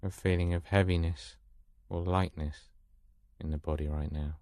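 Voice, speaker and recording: Male meditation guide: deep register, dry close sound, calm soothing instruction, unhurried gaps between phrases. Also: dry recording.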